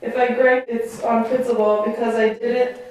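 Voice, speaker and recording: Casting: woman as bitter enslaved male island savage, highish voice, taunting theatrical puppet-show delivery, very echoey room. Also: flat delivery, moderate pace, darkish tone, lightly acted. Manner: defiant, taunting, declamatory. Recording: very echoey room, distant mic.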